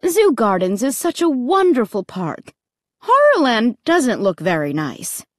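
A woman's voice: fairly high pitch, huge pitch swings, unhurried, no room sound, studio quality. A woman voicing an impatient, fretful, doubtful mother in an audiobook story. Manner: fretful, demanding.

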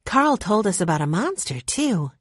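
A woman's voice: mid-range voice, sing-song, moderate pace, breathy edge, no room sound, clean studio sound, pitched above the performer's own voice. A woman voicing a sensible older sister who speaks firmly and directly. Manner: firm, direct.

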